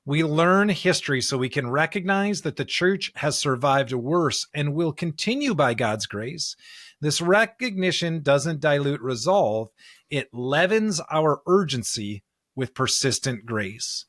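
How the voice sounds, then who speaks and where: A man's voice: on the high side, expressive, measured pace, a bit bright, no room sound, studio quality. A man co-hosting a podcast, talking through scripture in an earnest, emphatic, conversational way. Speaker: podcast co-host.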